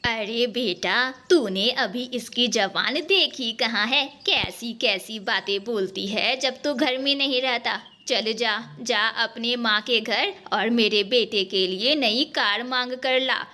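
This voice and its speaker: A cruel older mother-in-law bird from a cartoon story, acted by a woman. She nags and scolds with sarcasm in a bright, forceful voice, drawing her words out slowly. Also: fairly high pitch. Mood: bitter, demanding. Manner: sarcastic, nagging.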